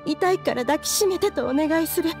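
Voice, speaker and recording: An actress playing a grieving, guilt-ridden mother in an anime game, halting, pleading and sorrowful. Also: high voice, a little mellow, faint music behind. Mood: anguished grief. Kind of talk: anime game voice acting, emotional drama.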